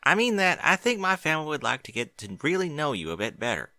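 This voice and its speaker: A man's voice: on the high side, huge pitch swings, fast talker, sharp tone, dry recording, studio quality, pitched above the performer's own voice, full character voice. A man voicing an earnest young farm pony mare in a fan fiction audiobook, talking in a plain, folksy way. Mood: hesitant.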